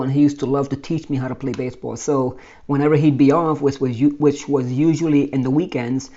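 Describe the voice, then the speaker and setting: A man's voice: medium pitch, mellow, muffled recording. A man in his forties from Brooklyn, New York, casually telling his life story with many fillers and restarts. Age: 40s.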